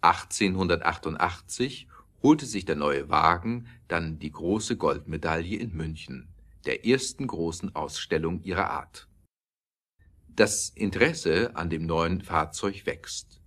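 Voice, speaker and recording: A man's voice: low voice, lively intonation, measured pace, fairly bright tone. A man reading scripted narration as the storyteller of a German audio drama.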